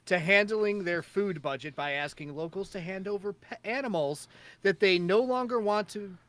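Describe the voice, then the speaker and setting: A man's voice: high voice, normal speed, a bit bright, no room sound. A young man hosting a casual comedy podcast, reading out weird news stories with a jokey tone and laughing mid-sentence. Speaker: podcast host.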